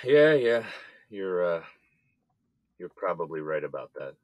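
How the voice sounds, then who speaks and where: Guy acting jailed businessman in audio drama, really low voice, hesitant n troubled, dry close sound. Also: sing-song, takes it slowly, dry recording, heavily acted. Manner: hesitant, downcast. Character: troubled, subdued jailed businessman, adult man.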